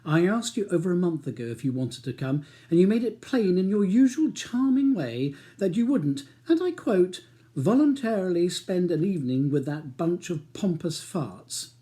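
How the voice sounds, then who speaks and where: A man's voice: high-pitched, sing-song, moderate pace, dark tone, voice pushed up. A man reading an audiobook, voicing a cold, scornful upper-class wife who speaks in a beautifully cutting way. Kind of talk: audiobook character dialogue.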